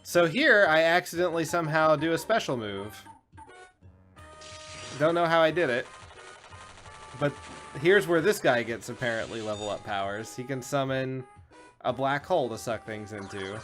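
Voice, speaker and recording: A man with a mid-pitched voice talks casually through a video game as he plays it. His delivery is informal and peppered with swearing, with game music playing faintly behind him. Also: lively intonation, moderate pace, long pauses, a bit bright. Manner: informal, profane. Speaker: video game show co-host and player. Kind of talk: casual gameplay commentary.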